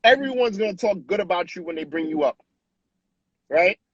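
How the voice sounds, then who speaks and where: A man in his late thirties from Haiti, a guest telling stories in a casual, animated way over a video call, often tagging on questions. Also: sits high, a little fast, slightly rough, no room sound, lo-fi sound. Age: 30s. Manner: animated, with frequent tag questions. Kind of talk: casual storytelling on a live stream.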